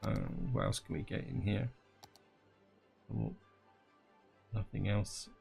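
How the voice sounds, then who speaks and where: A man with a slightly croaky throat giving casual gameplay commentary, thinking aloud and talking to his viewers. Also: lowish voice, wildly swooping pitch, slow, darkish tone, faint music behind. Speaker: gaming channel host.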